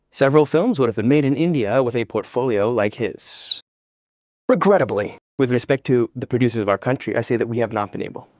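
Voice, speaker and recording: A man's voice: mid-range voice, darkish tone, no echo, studio quality. A man hosting an interview, speaking in an emphatic, opinionated way and repeating his points. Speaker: interview show host. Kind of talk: interview with earnest commentary.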